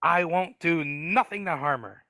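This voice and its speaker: A man voicing a nervous, cowardly dwarf servant who stammers and speaks in rough grammar, in a live reading over a video call. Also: on the high side, a little slow, bright, forceful tone, no echo. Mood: worried.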